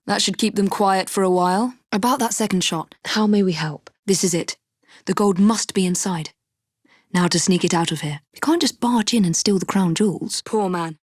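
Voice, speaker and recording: Casting: woman playing young Victorian female assassin for a game, English accent, composed, determined, dryly witty, mid-pitched, very dry studio sound. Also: no room sound, natural voice. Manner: crisp, formal, composed phrasing. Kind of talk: dramatic video game voice acting.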